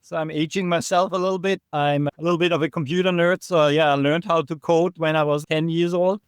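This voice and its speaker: Man, high clear voice. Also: steady tone, a little fast, no echo, studio quality. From Austria. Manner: earnest, explanatory, with frequent restarts and "right?" tags. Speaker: podcast guest, app developer. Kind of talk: casual interview chat.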